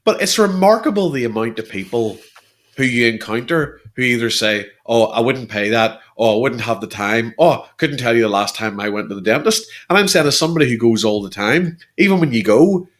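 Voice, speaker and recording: A man's voice: medium pitch, lively intonation, brisk. A man, co-hosting a podcast in casual, wry chat. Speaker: podcast co-host. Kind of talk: casual banter.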